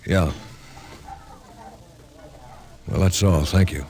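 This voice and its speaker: An actor playing a courteous, persistent newspaper reporter asking questions in a 1950s radio drama. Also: male voice, low-pitched, moderate pace, darkish tone. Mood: probing. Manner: courteous, inquiring.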